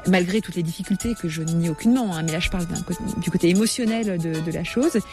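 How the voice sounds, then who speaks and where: A woman from France in her forties, a writer giving a reflective personal account, pausing often with hesitant fillers and careful rephrasing. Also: lowish voice, darkish tone, faint music behind. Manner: hesitant, frequent "euh" pauses, careful rephrasing.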